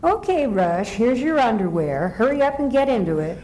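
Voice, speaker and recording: A woman playing a brisk, mildly exasperated mother of a schoolboy in a live old-time radio comedy sketch. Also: fairly high pitch, big pitch swings, dark tone, low-quality recording. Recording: live ballroom event, faint noise.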